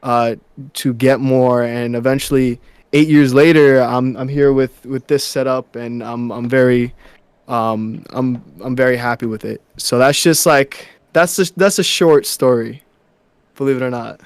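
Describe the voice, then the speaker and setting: A young man from New York, a musician guest in a casual interview, talking softly and haltingly with many fillers and restarts. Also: mid-range voice, fairly even intonation, smooth, no room sound.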